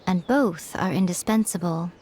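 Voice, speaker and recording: A woman's voice: lowish voice, moderate pace, a little mellow, slight background noise, close to an everyday voice. A woman voicing a playful, worldly-wise shrine maiden in a video game, eloquent and teasing. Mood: solemn. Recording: music playing behind the voice.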